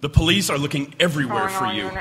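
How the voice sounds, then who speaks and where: A man's voice: on the high side, lively intonation, fast talker, fairly bright tone. An actor playing an anxious, melodramatic older scientist father in the style of 1940s radio drama. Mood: urgent. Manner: melodramatic, urgent. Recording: live stage, long hall reverb.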